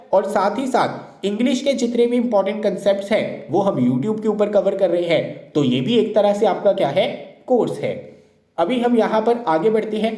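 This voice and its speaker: A man explains word pairs in a steady teaching lecture, with a mellow, warm tone. He keeps posing a question and answering it at once. The sound bounces around a roomy space. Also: high voice. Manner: repeats questions then answers them. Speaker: English teacher for exam prep. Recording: roomy echo.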